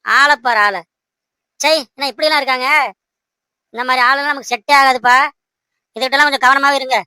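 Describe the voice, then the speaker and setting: A woman's voice: high voice, fairly even intonation, fairly quick, bright, forceful tone, clear, resonant tone, dry recording. A woman voicing an earnest, protective, hot-headed young fiancé in a cartoon, emphatic and insistent. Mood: indignant. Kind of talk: amateur cartoon dialogue in colloquial speech.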